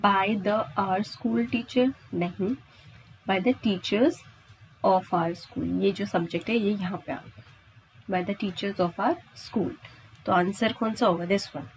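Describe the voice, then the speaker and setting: Indian woman teaching, slow-paced, noisy background. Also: medium pitch. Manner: instructional, checks understanding with frequent questions and tags. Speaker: English grammar teacher. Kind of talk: exam-prep lesson, explaining step by step.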